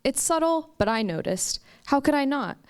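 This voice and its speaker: A young woman from the United States telling a personal story at a live campus story slam, with slow, emphatic phrasing. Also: high-pitched, lively intonation, darkish tone. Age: young adult. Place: stage at a campus story event.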